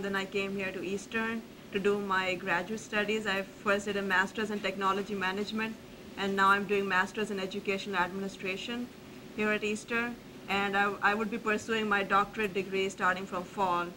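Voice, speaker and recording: A woman's voice: mid-range voice, flat delivery, background noise. A young woman from Pakistan, a graduate student speaking earnestly on a university panel, often restarting her sentences. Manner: earnest, halting with many restarts. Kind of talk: panel talk.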